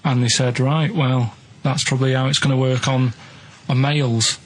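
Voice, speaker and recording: A man's voice: medium pitch, fairly even intonation, moderate pace, a little hiss or hum. A man telling odd anecdotes in casual radio chat, rambling and matter-of-fact. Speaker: radio show co-host.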